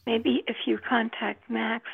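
A woman with a very creaky, raspy voice, talking casually in a group discussion over a thin line. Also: on the high side, little pitch movement, takes it slowly, phone-quality sound. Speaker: participant in an old-time radio discussion group.

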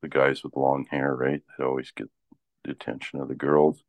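An older man, chatting casually and haltingly, often checking in with "right?". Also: extremely low pitch, little pitch movement, darkish tone. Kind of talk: casual chat.

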